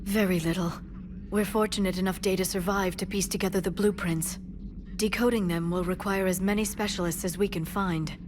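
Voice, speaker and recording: A woman playing a composed, earnest alien scholar and information broker in a video game, speaking in a measured, articulate way. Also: medium pitch, steady tone, lightly acted. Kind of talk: video game character dialogue. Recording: clear ambient noise behind game dialogue.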